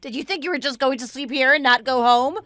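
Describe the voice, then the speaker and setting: Woman doing angry betrayed wife in story drama, highish voice, accusing and sarcastic, very dry sound. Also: expressive, a little slow, a bit bright, no room sound, faint music behind. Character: betrayed wife, furious, scornful, confronting cheating husband. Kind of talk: dramatized audio story acting.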